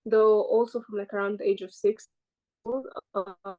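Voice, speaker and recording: A woman's voice: medium pitch, little pitch movement, unhurried, highs cut off. Female guest from Kenya, British-sounding accent, chatty and rambling. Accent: British-sounding English. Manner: chatty, rambling, self-deprecating. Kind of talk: casual interview answers.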